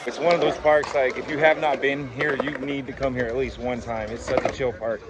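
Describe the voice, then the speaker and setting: A man vlogging a night skate session outdoors, shouting hyped reactions to his friends' tricks while sweating in the heat. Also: mid-range voice, fairly even intonation, fairly quick. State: sweaty, hot and humid. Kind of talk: excited hype commentary. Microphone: handheld camera while skating. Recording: loud outdoor wind and skateboard noise.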